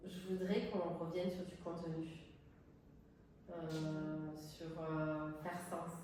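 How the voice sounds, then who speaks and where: A woman's voice: low-pitched, level tone, takes it slowly, mellow, soft background music. A middle-aged woman from southern France speaking off the cuff in an interview, hesitating and searching for her words. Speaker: tourist office director, interviewee. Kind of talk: spontaneous interview talk. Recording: very echoey room, distant mic, faint noise.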